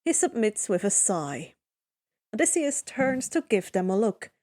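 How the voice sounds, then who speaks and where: A woman's voice: mid-range voice, big pitch swings, unhurried, a little mellow. A woman reading fan fiction aloud as a dramatic story narration.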